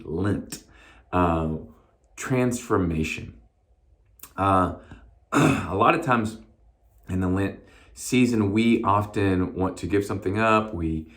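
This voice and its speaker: A man with a hoarse voice giving a short, casual devotional message as a pastor, with many fillers and restarts. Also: sits low.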